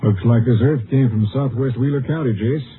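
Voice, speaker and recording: An actor playing a practical, questioning police captain in a 1950s radio drama, brisk and skeptical. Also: male voice, mid-range voice, natural voice. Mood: practical, doubtful. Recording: lo-fi, faintly noisy 1950 radio broadcast.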